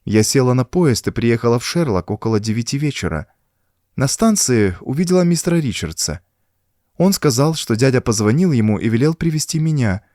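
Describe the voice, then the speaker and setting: A frightened, bitter young woman acted out by a male narrator confides her troubles in an audiobook story. The delivery is soft and plaintive, with very mobile pitch, in a dry, close recording. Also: lively intonation, a little fast, no room sound, close to an everyday voice. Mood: anxious, confiding. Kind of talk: audiobook character dialogue.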